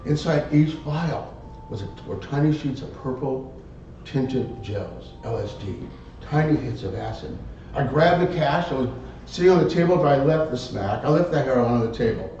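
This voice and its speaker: A man telling a tall tale live as a contest speech, theatrically, in short halting phrases. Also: lively intonation, mellow. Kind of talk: live dramatic storytelling speech. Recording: very echoey room, distant muffled mic, rumble.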